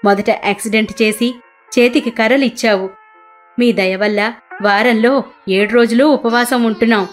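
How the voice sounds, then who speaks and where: A woman's voice: fairly high pitch, fairly even intonation, normal speed, faint music behind, close to an everyday voice. Woman voicing a plaintive, pleading poor rickshaw man. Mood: sorrowful, reproachful. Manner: plaintive, beseeching. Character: anguished, pleading poor male auto-rickshaw driver, devout.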